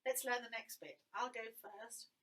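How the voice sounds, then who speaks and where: A woman with an English accent, teaching young children to sing in a gentle, encouraging way. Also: a little high, fairly even intonation, a little slow. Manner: gentle, encouraging, sings phrases for copying. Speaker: music teacher. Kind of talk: children's singing lesson.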